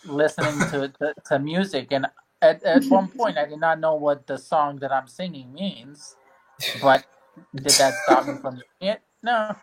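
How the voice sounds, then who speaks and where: A man from Croatia, a native Croatian speaker, chatting in a relaxed, rambling way. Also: a little high, measured pace, poor audio. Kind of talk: casual group chat.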